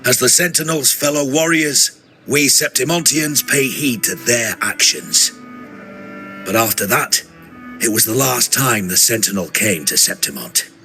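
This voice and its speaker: A man playing a proud, reverent warrior in a video game, telling his land's lore in a measured, earnest way. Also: medium pitch, sharp tone, soft background music.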